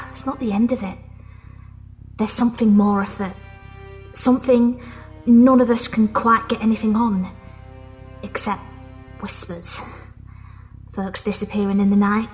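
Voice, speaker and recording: A woman's voice: medium pitch, fairly even intonation, darkish tone, soft background music, close to an everyday voice. A woman playing a wry, scarred resistance fighter. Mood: earnest. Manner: easygoing, wry, quick to laugh. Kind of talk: audio drama acting.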